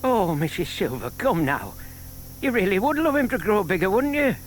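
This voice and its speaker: An actor playing a shy, lovelorn older bachelor in an audio drama, earnest and hesitant in manner. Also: male voice, sits high, sing-song, normal speed, slight background noise. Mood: gentle. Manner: hesitant, earnest, murmured asides.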